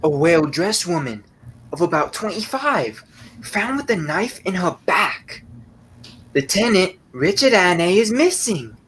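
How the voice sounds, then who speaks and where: A man's voice: high voice, very animated, a little slow, fairly bright tone, slight background noise, full character voice. A young man acting a chatty working-class London train passenger in a 1930s-style radio play. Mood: reading aloud.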